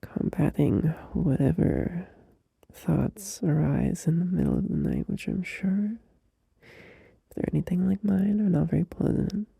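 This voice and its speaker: Male performer as a tender young boyfriend at night. Slow, breathy whisper with gravelly fry, close and dry. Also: fairly high pitch, wildly swooping pitch, no echo, exaggerated voice. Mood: tender, sleepy. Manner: soft drowsy whisper, unhurried, with small laughs. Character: gentle, loving young boyfriend soothing a partner at night. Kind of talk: ASMR audio roleplay. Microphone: close mic.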